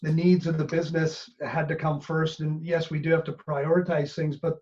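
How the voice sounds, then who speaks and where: A middle-aged man with a Canadian accent tells stories in a relaxed podcast conversation. His voice sits a little high for a man, and he acts out quotes as he goes. The audio is muffled and dull. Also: normal speed. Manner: animated storyteller, acts out quotes. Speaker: business coach guest. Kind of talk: casual podcast chat.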